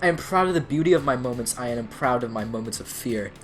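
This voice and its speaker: A man's voice: medium pitch, animated, quick pace, soft background music, natural voice. A man playing a pretentious, proudly unashamed online art poster in a solemnly earnest comedic dramatic reading. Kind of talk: dramatic reading of an internet comment argument.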